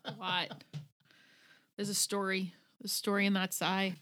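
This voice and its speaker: A woman from New Jersey, born in Egypt, co-hosting a podcast in lively, teasing chat and laughing often. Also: medium pitch, unhurried. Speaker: podcast co-host. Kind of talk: casual chat.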